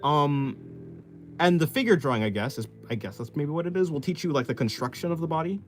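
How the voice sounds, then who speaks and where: A man talks casually on a live art stream, working through explanations in loose, rambling bits that keep restarting. His pitch moves around a lot and never sits flat. Clear music plays underneath his voice the whole time. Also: a little fast. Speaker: artist streamer. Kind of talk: casual live-stream chat while drawing.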